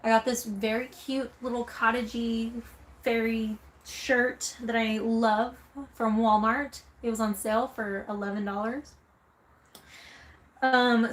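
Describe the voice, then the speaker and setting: Upbeat chatty Southern woman vlogger. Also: on the high side. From Southern United States. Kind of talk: casual chat reading viewer comments.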